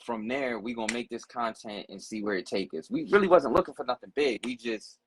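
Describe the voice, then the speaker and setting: A man's voice: medium pitch, animated, brisk, noisy background. A man in his forties telling his life story in casual conversation, blunt and profane. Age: 40s.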